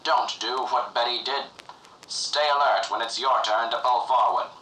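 A man's voice: mid-range voice, normal speed, bright, forceful tone, slight background noise. Roomy, distant 1950s filmstrip narrator man. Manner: earnest, pompous, moralizing announcer delivery. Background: music.

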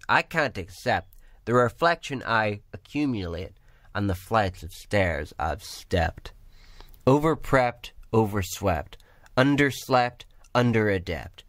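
Slow, low-voiced male spoken word poet. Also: fairly bright tone, no room sound. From Northern Ireland. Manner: measured rhythmic recitation with rhymes. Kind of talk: spoken word poetry performance. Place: small room or pub.

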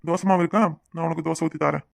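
Guy acting young husband, talks fast, teasing but soft on his wife, coaxing, dry close sound, family drama. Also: fairly high pitch, fairly even intonation, creaky, no echo. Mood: soothing. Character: affectionate, teasing young husband, soothing and apologetic. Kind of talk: domestic serial drama acting.

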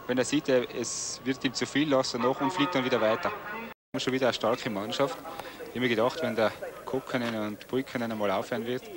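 A man's voice: mid-range voice, steady tone, brisk. A man with an Austrian accent giving colloquial expert analysis of ski jumping. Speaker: expert co-commentator. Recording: outdoor sports broadcast with crowd behind.

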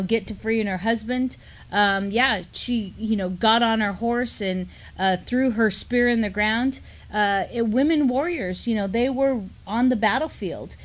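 A middle-aged woman with an American accent explains local news and history conversationally as a co-host in a small studio. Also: fairly high pitch. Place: small indoor studio, seated at a desk.

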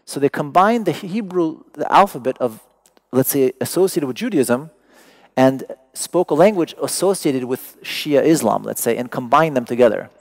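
A man with a creaky, gravelly voice lectures to a seated audience in a large hall. He is a native Persian speaker who talks in a prepared yet conversational way, pausing to find and stress the exact word. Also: medium pitch, animated.

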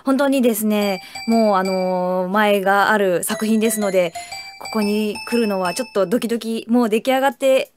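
A voice actress giving a chatty, giggly cast comment in a recording studio. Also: female voice, medium pitch, moderate pace, music in the background.

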